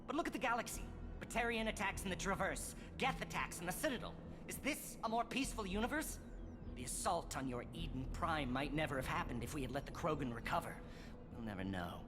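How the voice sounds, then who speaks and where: A man's voice: a little high, very animated, fairly quick. A man voicing a bitter, zealous alien scientist in a video game, articulate and accusatory, a disillusioned former student. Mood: impassioned. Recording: game dialogue over background music.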